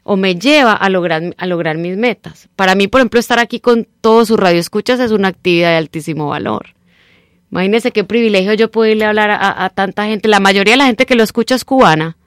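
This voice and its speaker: A woman's voice: medium pitch, fast talker, dry recording. Woman, middle-aged, Colombian accent with a Nicaraguan tinge, persuasive radio guest, very dry studio sound. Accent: Colombian Spanish mixed with Nicaraguan. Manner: animated, persuasive, coaching tone with frequent "¿verdad?". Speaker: radio guest, time-management trainer. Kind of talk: radio interview, promoting a seminar.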